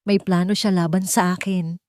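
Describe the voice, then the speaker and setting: A woman's voice: on the low side, flat delivery, normal speed, darkish tone, smooth, dry recording, lightly acted. A manipulative wife put on by a woman narrator in a romantic audio drama. She pleads and accuses, recorded very dry. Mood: tearful, accusing.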